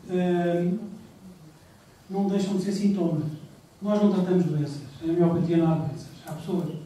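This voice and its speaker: A man's voice: high voice, fairly even intonation, normal speed, dark tone. A man giving a public talk, hesitant and repeating words before stating points firmly. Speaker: lecturer. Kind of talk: public talk with audience questions. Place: lecture room. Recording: echoey room from far off, noisy.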